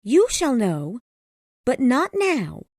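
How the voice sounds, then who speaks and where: Man doing anxious sorrowful young woman, pleading, high and swooping, slow. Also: big pitch swings, a little mellow, no room sound, clean studio sound, exaggerated voice. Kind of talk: audiobook character voice.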